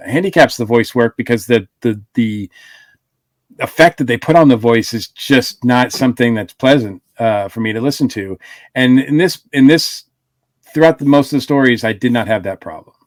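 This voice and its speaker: A man's voice: mid-range voice, moderate pace, dry recording. A man co-hosting a casual podcast review, rambling with lots of fillers and restarts. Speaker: podcast co-host.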